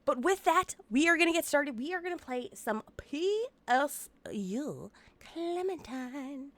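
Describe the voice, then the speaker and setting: A woman's voice: high voice, lively intonation, measured pace, a bit bright, no room sound. A woman streaming a game and chatting casually with her viewers, sounding nasal and sniffly from congestion. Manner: bubbly, playful, chatty with viewers.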